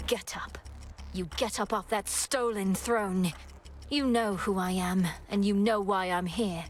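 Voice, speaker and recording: An actress playing a young, vengeful princess of the underworld in a video game, formal, solemn and resolute. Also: female voice, medium pitch, steady tone, measured pace, a little hiss or hum, lightly acted. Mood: angry defiance. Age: young adult. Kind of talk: theatrical video game heroine acting.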